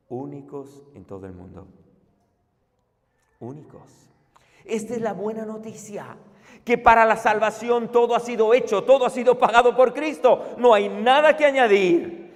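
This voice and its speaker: A man delivers a conference sermon in a notably high voice at an unhurried, deliberate pace. He drives his points with rhetorical questions to the audience. The recording sits in a large hall with a long, lingering reverb. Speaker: preacher.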